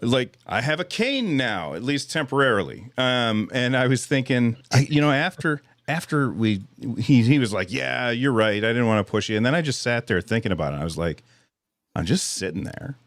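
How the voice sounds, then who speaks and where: A man hosting a live podcast in casual chat, a little hoarse, rambling and self-deprecating with frequent restarts. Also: medium pitch, very animated, moderate pace, a bit bright, no room sound. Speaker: podcast host.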